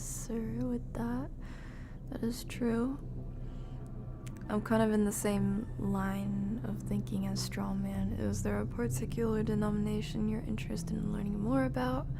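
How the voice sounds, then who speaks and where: A woman's voice: mid-range voice, creaky. A woman streaming a game, chatting casually and thinking aloud in an informal, musing way. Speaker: live streamer. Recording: noisy audio with loud background sound.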